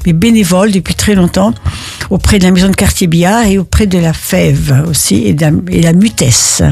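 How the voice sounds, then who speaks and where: An older retired woman from Italy, answering interview questions in a halting way, full of restarts. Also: lowish voice, vocal fry, darkish tone, a little hiss or hum. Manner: halting, with many restarts and fillers. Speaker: interviewee, neighborhood volunteer.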